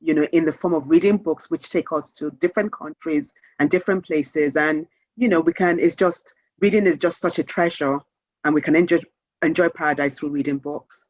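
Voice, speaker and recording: A woman's voice: low voice, fairly even intonation, fairly quick, darkish tone, dry recording, dull audio. A woman from Nigeria, answering interview questions conversationally as an author.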